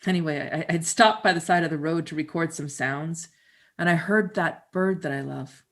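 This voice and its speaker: A woman in her fifties from Canada, performing a conversational first-person monologue with dramatic pauses. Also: deep voice. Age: 50s. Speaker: storyteller and musician.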